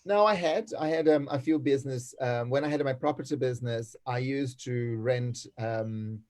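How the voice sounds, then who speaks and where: Brazilian guy on a video call, mid-pitch voice, lively storyteller, laughs a lot, keeps restarting sentences. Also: moderate pace. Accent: Brazilian Portuguese. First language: Portuguese. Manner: animated storyteller, laughs often, many restarts. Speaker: guest. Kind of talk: casual video call chat.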